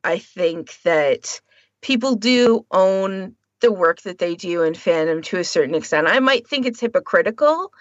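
A woman's voice: fairly low pitch, animated, measured pace, no room sound, highs cut off. A woman co-hosting a podcast, talking casually and informally with firm opinions of her own. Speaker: podcast co-host.

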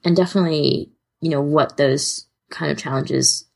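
Low-voiced, husky woman podcast host. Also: fairly even intonation, normal speed, a little mellow. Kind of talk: casual podcast discussion.

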